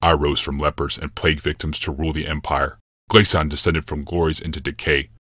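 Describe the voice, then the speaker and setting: Dude doing a grim emperor, super deep, slow heavy lines, dry. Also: male voice, little pitch movement, a bit bright, no echo. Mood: cold. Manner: slow, solemn pronouncements. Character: grave, towering male emperor obsessed with struggle.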